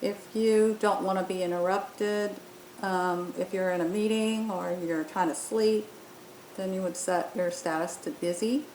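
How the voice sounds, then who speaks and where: A woman's voice: steady tone, a little slow, darkish tone. A woman presenting an unscripted app demo, explaining step by step with some hesitation. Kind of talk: unscripted software tutorial narration. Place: indoor room, voice-over on screen recording. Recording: roomy echo, faint rumbling noise.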